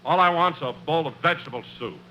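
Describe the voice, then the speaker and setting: A man's voice: a little high, fast, pushed and bright. A man playing a practical member of a 1950s radio comedy ensemble, plain and matter-of-fact. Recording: noisy vintage 1950s radio recording.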